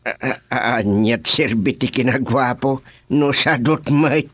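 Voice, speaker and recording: A man's voice: medium pitch, slow pace, fairly bright tone, no room sound. A man playing a bemused, joking village chairman in a comedic radio drama, drawling and reacting with interjections. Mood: chiding, teasing.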